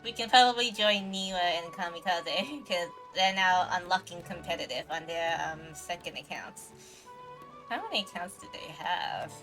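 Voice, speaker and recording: A woman's voice: on the low side, moderate pace, low-quality recording. A woman chatting casually on a game livestream in a hesitant, rambling way, often trailing off. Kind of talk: casual game stream chat. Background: faint game music.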